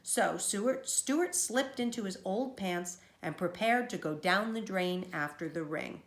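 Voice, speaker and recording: A woman's voice: mid-range voice, unhurried, reverberant. A woman teacher reading a children's storybook aloud, explaining things to young listeners as she goes.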